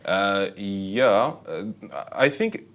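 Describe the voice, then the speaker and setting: A man from Syria with a Syrian Arabic accent, answering interview questions casually and haltingly, often pausing to search for words. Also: lowish voice, animated, fairly bright tone. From Syria, Damascus. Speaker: interview guest, actor and content creator.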